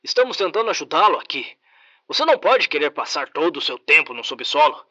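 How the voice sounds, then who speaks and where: A man voicing a calm male researcher who reasons patiently with a creature. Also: on the high side, fast, dry recording, muffled recording, exaggerated voice.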